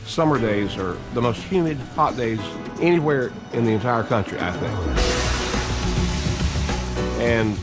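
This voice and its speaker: A man in a documentary interview, telling a story with animated lists. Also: mid-range voice, a little slow, long pauses, loud music behind the voice. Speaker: interviewee recalling events.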